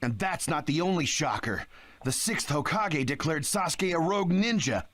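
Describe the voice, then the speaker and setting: A man's voice: on the high side, animated, normal speed, a bit bright, no room sound. A man voicing a blunt, urgent young ninja delivering bad news in an English game dub. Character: rough young male ninja, bearer of news. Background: game music.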